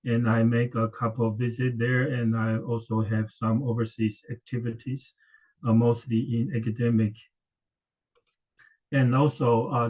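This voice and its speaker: Hesitant man giving a conference talk. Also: mid-range voice. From Taiwan. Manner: hesitant, frequent filler sounds and restarts. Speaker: conference speaker, professor. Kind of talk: academic conference presentation. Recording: dull lo-fi audio.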